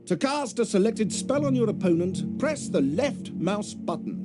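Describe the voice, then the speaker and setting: Pompous, high-pitched wizard teacher. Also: male voice, full character voice. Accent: British. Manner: theatrical, pompous. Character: flamboyant, self-important male wizard teacher of dueling. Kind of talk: video game voice acting, instructional speech.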